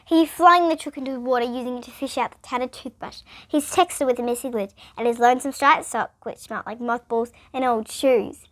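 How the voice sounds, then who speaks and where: A female reader, reading a children's picture book aloud in an expressive, emphatic way. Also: on the high side, brisk, few pauses.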